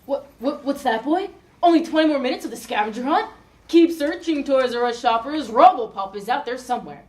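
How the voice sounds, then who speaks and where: A woman acting as a cheery store employee making bright, salesy announcements to shoppers. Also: high-pitched, animated, fairly quick. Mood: chirpy. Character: chirpy toy-store employee with a megaphone voice.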